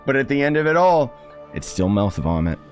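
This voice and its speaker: A man's voice: medium pitch, sing-song, fairly quick. A man giving casual, sarcastic playthrough commentary as a gaming streamer, with a mocking edge. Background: faint game music.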